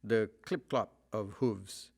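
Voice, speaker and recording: A man acting as an eloquent storyteller in a literary audio drama, narrating in a measured, ornate way. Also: on the low side, creaky, full character voice. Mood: lyrical wonder. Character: eloquent male storyteller recalling a scenic journey with wonder. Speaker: voice actor.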